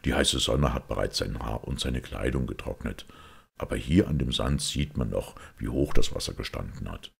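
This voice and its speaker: A man voicing a calm, shrewd priest detective who reasons deliberately, with mild irony, in an audiobook story. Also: a bass voice, gravelly, husky, heavily acted. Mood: musing, reasoning. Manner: deliberate, reasoning, quietly ironic.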